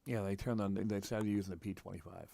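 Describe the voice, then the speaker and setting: A man from New England hosting a casual, unscripted livestream, halting and repeating himself as he thinks aloud. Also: on the low side, steady tone, gravelly, warm tone, no echo. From New England, United States. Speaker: livestream host, radio scanner hobbyist. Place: room at home. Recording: noticeable background noise, home livestream.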